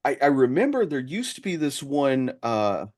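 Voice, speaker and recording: A man hosting a casual chat, feeling his way through sentences in a halting delivery. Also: medium pitch, sing-song, darkish tone. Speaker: podcast host.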